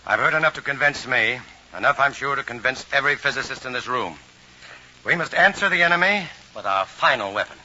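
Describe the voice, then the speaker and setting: A man's voice: mid-range voice, animated, fairly quick, sharp tone. An actor playing an earnest, decisive male scientist in a 1950s radio drama, urgent and assured. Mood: resolute. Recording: dull, faintly noisy old radio recording.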